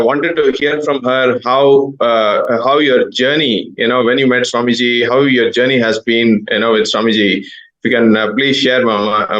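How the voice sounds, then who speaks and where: A man hosts a live online stream and introduces the next guest. He talks in a halting way, stopping often on fillers and restarting his sentences. His voice sits at an ordinary pitch. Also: fairly bright tone, muffled recording. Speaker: host of an online program.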